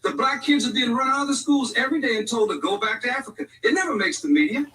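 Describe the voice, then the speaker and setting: Casting: bright, high-voiced man, impassioned pastor, recorded far off. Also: expressive, fast. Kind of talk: public speech clip.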